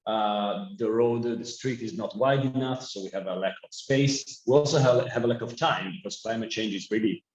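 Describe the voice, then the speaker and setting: A man's voice: on the low side, normal speed, hardly any pauses. A man with an Italian accent, giving an earnest online conference talk with frequent restarts. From Italy. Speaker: presenting speaker. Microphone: video call. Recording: echoey, muffled video call.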